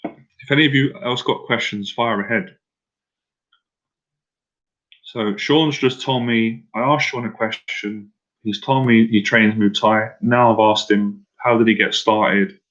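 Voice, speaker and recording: A man's voice: fairly low pitch, a bit bright, dull audio. A man coaching viewers on a live stream about talking to women, speaking casually and directly, repeating himself and asking viewers to answer in the chat. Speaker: dating confidence coach.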